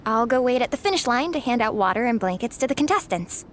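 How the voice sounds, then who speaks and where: A woman's voice: on the high side, expressive, fast, slight background noise, lightly acted. A woman voicing a diligent, practical young team helper in an anime game, with a busy, methodical manner. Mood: focused. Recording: faint music behind.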